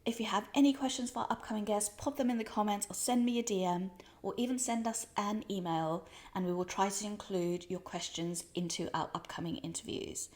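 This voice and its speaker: Woman host for an interview podcast, gentle and searching, plenty of fillers. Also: mid-range voice, brisk, hardly any pauses. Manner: warm welcomes, hedging with kind of and um. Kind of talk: podcast intro and interview questions. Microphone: podcast studio mic.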